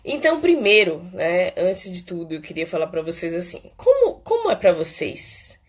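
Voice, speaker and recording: A woman from Salvador, a psychologist hosting a casual live Q&A, hoarse and stuffy from a cold, chatty and peppering her talk with "né". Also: on the low side, very animated. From Salvador, Bahia, Brazil. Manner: chatty, fills pauses with "né" and "é". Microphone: phone.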